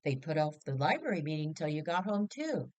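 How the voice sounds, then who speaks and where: A woman's voice: sits low, sing-song, brisk, darkish tone. A woman voicing eager, folksy family members chattering their news in a storybook reading.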